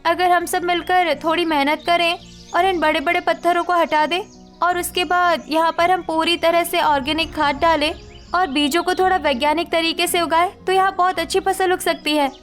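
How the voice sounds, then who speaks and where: A woman's voice: very high voice, steady tone, moderate pace, a bit bright, faint music behind. A woman voicing an earnest, educated young village bride in a cartoon story. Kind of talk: cartoon moral story acting.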